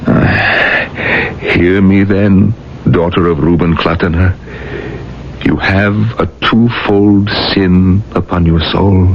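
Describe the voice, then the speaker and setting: Stern elder rabbi, extremely low and deliberate, admonishing, on hissy vintage radio drama. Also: male voice, fairly even intonation. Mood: grave, insistent. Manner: grave, admonishing, deliberate oratory. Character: stern, solemn older male rabbi. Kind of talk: 1970s radio drama acting. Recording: muffled, hissy old radio recording.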